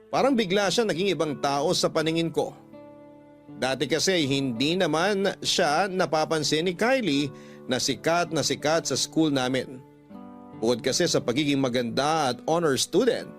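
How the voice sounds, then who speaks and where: A man with a fairly high voice narrates a personal story in radio style, reading it as a first-person letter. Soft guitar music plays behind him.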